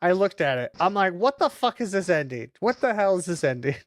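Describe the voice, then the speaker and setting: A woman's voice: fairly low pitch, very animated, fairly quick. A woman streaming a video game, commenting casually with a lot of exasperated swearing and exclaiming. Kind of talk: casual live gameplay commentary.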